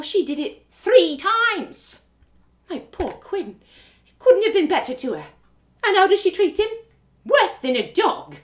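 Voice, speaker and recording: Woman playing a high-pitched, animated gossipy innkeeper. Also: full character voice. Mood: outraged. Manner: folksy, effusive, rustic turns of phrase. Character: gossipy motherly innkeeper woman, merry but quick to outrage.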